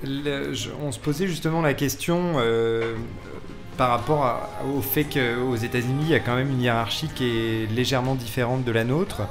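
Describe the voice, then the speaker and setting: A man's voice: medium pitch, steady tone, normal speed. A man on a casual radio discussion panel, explaining things in a hesitant way. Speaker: panel member. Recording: music playing behind.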